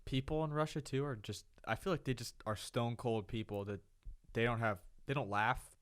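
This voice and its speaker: A young man co-hosting a podcast in relaxed, teasing banter, often saying "like" and "dude". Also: mid-range voice, normal speed, smooth, no room sound, clean studio sound. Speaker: podcast co-host.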